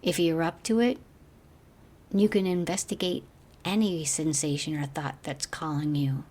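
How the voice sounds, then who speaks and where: A woman guiding a meditation as a teacher, speaking gently in slow, measured phrases that trail off softly. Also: low voice, fairly even intonation. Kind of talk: guided meditation narration.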